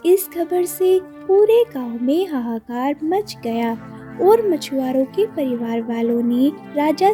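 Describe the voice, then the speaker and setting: A woman giving scripted narration of a children's moral story in a measured storytelling manner. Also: high voice.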